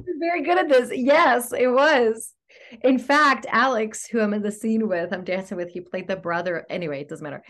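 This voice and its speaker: A woman from Greece, an actor, chatting in a casual podcast interview, upbeat and quick to laugh. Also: on the high side, fairly bright tone. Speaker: guest actor.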